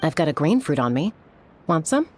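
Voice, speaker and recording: Low-voiced, blunt young woman. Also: big pitch swings, normal speed, a little mellow, a little hiss or hum. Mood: casual. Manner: dry, matter-of-fact. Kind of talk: video game voice acting.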